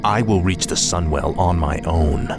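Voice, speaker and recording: A man's voice: low-pitched, unhurried, vocal fry, loud music behind the voice. An actor playing a cold, arrogant male death knight, a fallen prince, in a video game cutscene.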